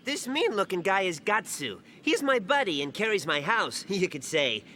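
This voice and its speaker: A woman playing a tiny, cheeky, childish male elf companion in a video game dub, chatty and excitable. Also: medium pitch, moderate pace, a little hiss or hum.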